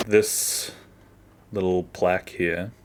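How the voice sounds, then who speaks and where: A man's voice: low voice, little pitch movement, very slow, fairly bright tone. A man giving a casual behind-the-scenes walkthrough as an animator, offhand and self-deprecating, often trailing off. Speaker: animator, video creator.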